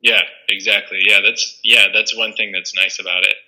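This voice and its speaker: Low, very bright-voiced Canadian man. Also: level tone, normal speed, vocal fry, low-quality recording. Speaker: stand-up comedian, guest. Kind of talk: casual interview chat.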